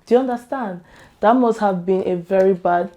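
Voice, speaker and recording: A woman vlogger with a hoarse, worn-out voice, talking casually and with strong opinions to her camera. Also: mid-range voice, moderate pace, dark tone. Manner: chatty, opinionated, laughs often. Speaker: YouTube vlogger.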